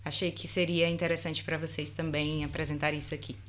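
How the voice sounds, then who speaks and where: A woman from Salvador, Bahia, with a Brazilian accent, a lawyer guesting on a casual podcast and chatting in a relaxed, anecdotal way. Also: low voice, a little fast, slight background noise. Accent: Brazilian Portuguese, Bahia. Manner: relaxed, chatty, laughs easily, tells anecdotes. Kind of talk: casual chat.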